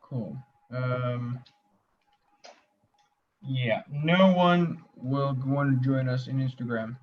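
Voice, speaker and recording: A man's voice: medium pitch, very slow. A man hosting a casual interview over a video call, informal and easygoing in his questions. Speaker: host. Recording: dull video call audio with faint noise.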